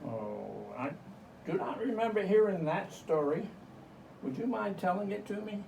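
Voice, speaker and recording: A man plays a naive, slow-witted puppet sidekick with a higher voice that swoops up and down. He sounds hesitant and curious, in a roomy, distant comic puppet-show recording. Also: big pitch swings, creaky, darkish tone, slight background noise, heavily acted. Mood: naive curiosity. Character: dim, curious, easily distracted puppet sidekick.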